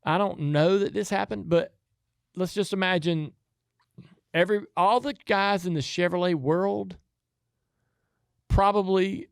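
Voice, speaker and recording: A man's voice: high voice, steady tone, a little slow, creaky, pauses often, clear, resonant tone, no room sound, studio quality. A man with a Southern US accent chatting casually about racing as a podcast host, in a rambling, folksy, easygoing way.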